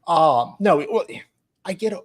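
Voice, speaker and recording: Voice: medium pitch, expressive, a little slow. A co-commentator chatting casually on a livestream, speaking in a hesitant, self-correcting way. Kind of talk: casual chat.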